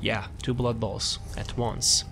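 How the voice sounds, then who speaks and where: Rambling man over clear background noise. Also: on the low side, level tone, slow pace. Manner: rambling, self-interrupting, often trailing off. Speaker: gaming video host. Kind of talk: casual game build walkthrough commentary.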